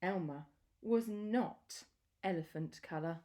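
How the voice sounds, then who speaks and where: A woman reading a children's picture book aloud in a deliberate, expressive storytelling manner with pauses. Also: lowish voice.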